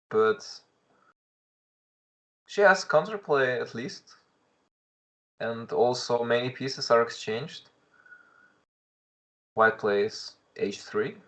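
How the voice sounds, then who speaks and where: A man's voice: medium pitch, a bit bright. A young man with a Russian accent, commentating a live chess stream in English, thinking aloud with frequent restarts and greeting viewers in chat.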